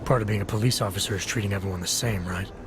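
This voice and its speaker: An actor playing a terse, skeptical detective in a video game, probing in a clipped, dry, challenging manner. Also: male voice, lowish voice, racing, natural voice. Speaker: Interpol investigator. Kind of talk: video game cutscene dialogue. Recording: background music under dialogue.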